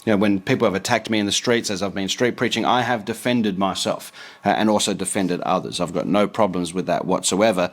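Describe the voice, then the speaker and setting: A man's voice: low-pitched, brisk. Earnest man preaching in explanatory commentary. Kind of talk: explanatory commentary on a text read aloud.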